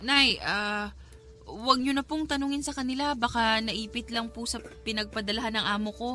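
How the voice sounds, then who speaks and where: A woman's voice: a little high, steady tone, moderate pace, bright, forceful tone. A woman playing a dutiful young daughter in a radio drama, respectful and emotional, with a Filipino accent. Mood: uneasy. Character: dutiful young daughter working abroad, hiding hardship. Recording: music under the voice.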